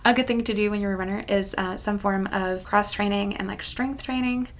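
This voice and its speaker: Woman around 30 chatting away on her vlog, gravelly fry, rambly, bit of noise behind her. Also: mid-range voice, fairly even intonation, brisk. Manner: rambling, self-deprecating. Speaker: craft channel vlogger. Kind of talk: casual chatty vlog to camera.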